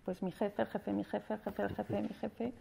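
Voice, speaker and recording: Woman from spain at a panel table mic in a big hall, gravelly dark voice, talks pretty flat, lots of restarts. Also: medium pitch, moderate pace, a little hiss or hum. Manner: earnest, with frequent restarts and fillers; gestures with her hands as she speaks. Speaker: honored alumna speaker. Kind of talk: formal speech at a university ceremony. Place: large formal hall, seated at a panel table. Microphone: table microphone.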